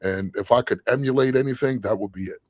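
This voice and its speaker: A man's voice: mid-range voice, quick pace, dry recording. A man co-hosting a fan podcast, talking earnestly in casual chat and often adding "you know what I'm saying". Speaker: co-host of a fan show.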